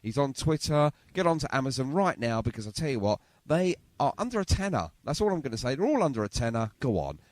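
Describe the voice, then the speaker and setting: Chatty male radio host, very animated pitch. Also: quick pace, hardly any pauses, dry recording. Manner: effusive, chatty, repeats phrases. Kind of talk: casual chatty radio interview.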